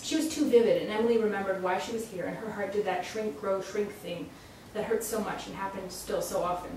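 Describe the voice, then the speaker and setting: A woman's voice: medium pitch, fairly even intonation, fairly quick. A woman reading a literary short story aloud at a live salon event. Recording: very echoey room, distant mic, faint noise.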